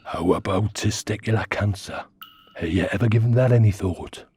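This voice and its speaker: A man voicing a chatty, insistent oddball worm-like butler in a radio sitcom, with a raspy British voice. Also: on the low side, normal speed, dark tone, faint music behind, lightly acted. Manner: chatty, insistent, over-familiar. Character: talking worm and shambling butler, pestering oddball. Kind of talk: comedy radio sitcom acting.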